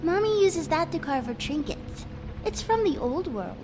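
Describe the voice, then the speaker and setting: High-pitched little girl. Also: sing-song, normal speed, rough recording. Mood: guarded, reluctant. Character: pleading young girl, anxious about getting in trouble. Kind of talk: video game voice acting. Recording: music behind the voice.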